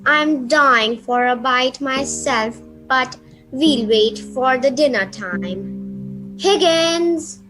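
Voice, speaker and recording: A woman playing an imperious, sinister countess in a radio play, speaking in a slow, grand, drawn-out way. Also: high-pitched, music in the background, rough recording. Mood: grand, commanding. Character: imperious, sinister countess hostess of a secluded castle. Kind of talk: amateur radio play acting.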